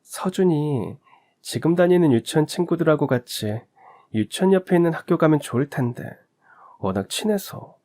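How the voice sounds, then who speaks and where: A man voicing a young wife and mother who talks softly and reasons gently. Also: a little high, lively intonation, normal speed, pitched above the performer's own voice, lightly acted. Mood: persuasive.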